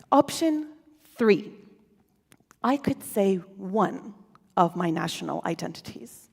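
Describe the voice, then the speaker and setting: Woman giving a playful stage talk in a hall. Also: medium pitch. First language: Russian. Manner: playful, expressive, with rhetorical questions. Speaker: conference speaker. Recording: reverberant hall with faint music.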